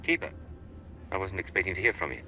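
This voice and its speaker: An actor playing a cool, sardonic secret agent in a video game, with a dry, wry delivery. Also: male voice, deep voice, quick pace, pushed and bright, soft background music, exaggerated voice. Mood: dry, casual.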